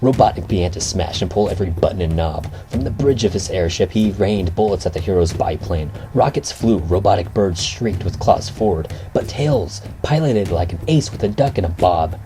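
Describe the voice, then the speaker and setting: A man narrating a scripted, rhyming bedtime story in a dramatic, measured storybook manner. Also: deep voice, animated. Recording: music behind the voice.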